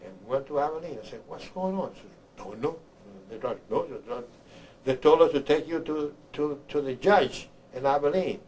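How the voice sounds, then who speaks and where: An older man from Honduras, an army veteran, recounts his memories haltingly, repeating words as he searches for the next one. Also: fairly high pitch, a little mellow, rough recording. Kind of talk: oral history recollection. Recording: clear background noise, room ambience.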